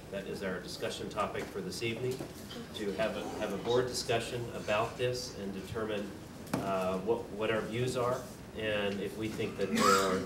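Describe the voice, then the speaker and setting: Low-voiced man chairing a board meeting. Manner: measured, with frequent uh fillers and restarts. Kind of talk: formal meeting, explaining procedure. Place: meeting room. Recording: distant mic, echoey room, noisy.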